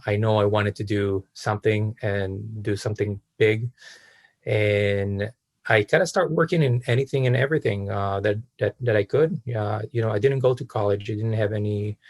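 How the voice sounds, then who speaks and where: A man in his thirties with an Israeli accent, answering interview questions casually in halting phrases with frequent pauses. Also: on the low side, no echo. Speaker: podcast guest, real estate entrepreneur.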